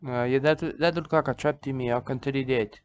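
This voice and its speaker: A man's voice: mid-range voice, fairly even intonation, brisk, smooth, no echo, muffled recording. A man giving rambling comedic commentary on a video, circling back on the same phrases in broken grammar.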